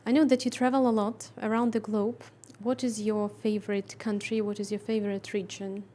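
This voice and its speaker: A woman's voice: mid-range voice, level tone, brisk, warm tone. A woman hosting a podcast interview, asking short questions and giving brief backchannel replies. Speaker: podcast host.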